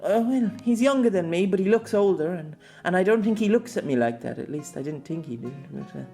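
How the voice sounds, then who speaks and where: Male reader as bashful tomboy girl, high squeaky voice, stammering, audiobook character, music underneath. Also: animated, a little fast, gravelly, dark tone. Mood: flustered. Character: flustered shy tomboyish schoolgirl, happy-natured, bashful.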